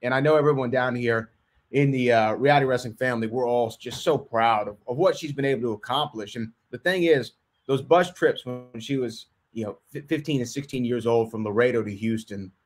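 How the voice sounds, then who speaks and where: Man, mid-pitched voice, podcast co-host, enthusiastic and earnest. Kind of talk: casual sports chat.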